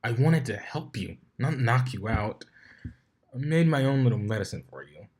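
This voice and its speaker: A man's voice: medium pitch, lively intonation, normal speed, darkish tone, slightly rough. A defiant young male dragon from a storybook, voiced by a man. He sounds grumpy and put-upon, answering in a huffy, defensive way. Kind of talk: fan fiction reading with character voices.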